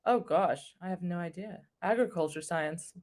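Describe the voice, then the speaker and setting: A woman's voice: lowish voice, normal speed, a little mellow. A woman from New York City, chatting as an online English tutor in a friendly, easygoing way over a video call. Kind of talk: casual conversation.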